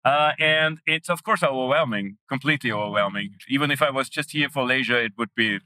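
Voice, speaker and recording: Man chatting, bright and stammering. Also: medium pitch, fairly quick. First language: Austrian German. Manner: stammering restarts, self-deprecating humor. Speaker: guest. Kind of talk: casual conversation.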